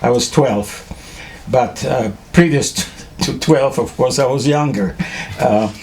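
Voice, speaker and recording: An older man from Czechoslovakia, German by first language, speaks to a school class at a mid pitch. He talks haltingly, with many fillers and restarts. A faint hiss sits under the recording. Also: darkish tone. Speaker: Holocaust survivor speaking to students.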